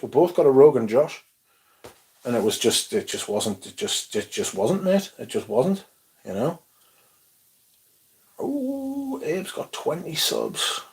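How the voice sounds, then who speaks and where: A man's voice: medium pitch, very animated, a little slow, a little mellow. Young bloke rambling on his stream, casual, a bit sweary, reads out chat. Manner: laid-back, swears casually, mumbles asides, reads chat aloud. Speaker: livestream host. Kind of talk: casual livestream chat reading comments.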